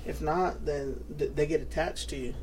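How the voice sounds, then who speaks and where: A man's voice: fairly high pitch, normal speed, darkish tone, slight background noise. A man co-hosting a podcast, chatting casually, laid-back and profane, saying "bro" constantly. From New Mexico. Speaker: podcast co-host. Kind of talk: casual chat.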